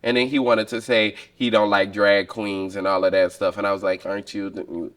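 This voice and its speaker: A man's voice: on the low side, little pitch movement, normal speed, a bit bright. A man, casually recounting events as a reality show cast member. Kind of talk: confessional recounting.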